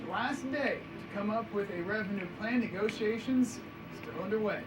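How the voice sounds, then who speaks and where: A man's voice: sits high, moderate pace, loud music behind the voice. A man anchoring a morning newscast, reading scripted items with upbeat, chatty asides. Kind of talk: scripted newscast with light banter. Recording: noisy monitor feed in control room. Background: director calling cues over it.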